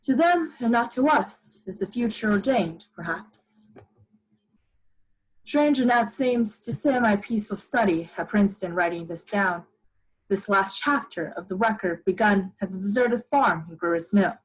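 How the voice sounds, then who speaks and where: Young woman as husky, solemn survivor narrator. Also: medium pitch, animated, poor audio. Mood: reflective. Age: young adult. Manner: deliberate phrasing, literary and grave. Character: solemn scientist survivor recounting a devastated world. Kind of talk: dramatic radio play narration. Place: living room at home, video call.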